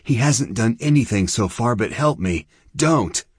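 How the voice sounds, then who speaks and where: A man voicing an anxious, soft-hearted young girl with an earnest, plain-spoken delivery in an audiobook. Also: deep voice, expressive, normal speed, breathy edge, no echo, studio quality. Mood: pleading.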